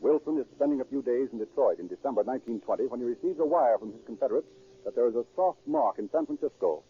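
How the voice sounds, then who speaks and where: A male radio narrator drives a crime story along at a rapid clip. The delivery is scripted 1930s drama, with a slightly rough, unclear voice on a dull vintage recording. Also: mid-range voice, animated.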